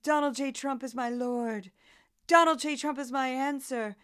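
Woman playing a smug, self-deluded politician, smooth and high. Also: steady tone, a little slow, a bit bright. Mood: commanding. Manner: emphatic, self-assured, insistent. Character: parody of a smug, self-deluded female politician hosting party. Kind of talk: satirical radio play monologue.

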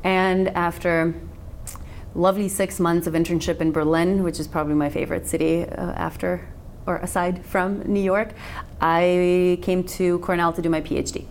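A woman's voice: fairly low pitch, a little hiss or hum. A woman in her thirties who grew up in Macedonia and speaks Macedonian as her first language, a sex researcher chatting easily and animatedly as a podcast guest. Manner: chatty and animated, quick laughs. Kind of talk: casual interview conversation.

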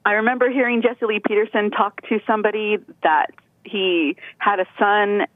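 A woman's voice: medium pitch, steady tone, normal speed, no room sound. A woman from California calling in to a show, chatty and rambling, giggling as she talks over a phone line. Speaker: caller. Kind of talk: casual phone-in chat. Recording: tinny phone line, dry.

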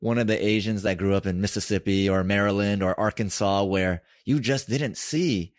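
Low-voiced male interviewer from San Francisco, curious and conversational, dry close sound. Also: normal speed, no room sound. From San Francisco, United States. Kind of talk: casual interview chat.

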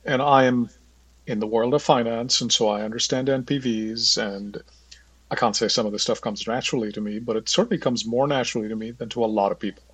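A man hosting an interview podcast, speaking conversationally in measured, rather formal and wordy sentences. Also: mid-range voice, steady tone, fairly bright tone, slightly rough, no echo. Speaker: podcast host, works in finance.

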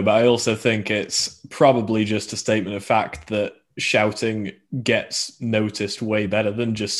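Casual man chatting as podcast host. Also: lowish voice. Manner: anecdotal, explanatory, joking. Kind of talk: casual sports podcast chat.

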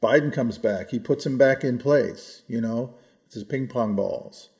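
Male, 60s, American. Creaky, relaxed voice, measured conversational delivery, podcast co-host who qualifies as he goes. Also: mid-range voice. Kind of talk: reflective policy discussion, conversational.

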